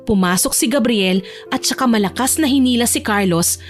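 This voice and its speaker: A woman's voice: fairly high pitch, moderate pace, soft background music. A woman reading scripted narration for a dramatic romance audio story. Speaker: narrator and voice actor.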